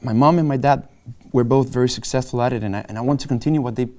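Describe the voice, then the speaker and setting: A man's voice: mid-range voice, racing, darkish tone, lightly acted. An actor at a script table read voicing a naive, eager young male junior realtor who hesitates and stammers.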